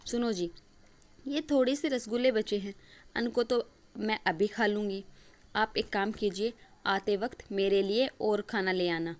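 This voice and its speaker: A woman's voice: mid-range voice, unhurried, natural voice. A woman voicing a whiny, food-loving young daughter-in-law in a story cartoon, complaining indignantly and defiantly. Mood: wheedling.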